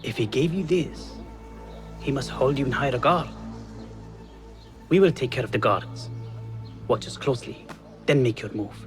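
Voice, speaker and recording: An actor playing a weary, guarded but loyal rebel fighter in a video game. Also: male voice, mid-range voice, lively intonation, normal speed, pauses often, over music, close to an everyday voice. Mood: resolved. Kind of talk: video game cutscene acting.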